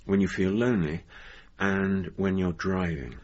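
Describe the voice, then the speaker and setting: A man acting an earnest, easily exasperated hypnotherapist in a naturalistic radio drama, halting and repeating words when flustered. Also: low-pitched, darkish tone. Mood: calm, soothing. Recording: dull lo-fi radio recording, audible noise.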